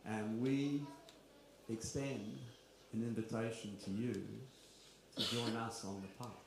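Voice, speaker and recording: A man's voice: fairly low pitch, fairly even intonation, slow. A middle-aged man gives an informal, confessional spiritual talk at a kirtan gathering, full of rhetorical questions and wry asides. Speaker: kirtan speaker. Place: large echoey room at a kirtan gathering. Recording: very roomy, faint background noise.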